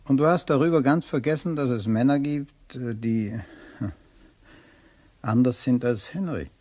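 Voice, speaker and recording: An actor playing a fond, persistent male suitor in a crime radio play, husky and a little hesitant. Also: mid-range voice, moderate pace, dark tone, close to an everyday voice. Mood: tender, earnest.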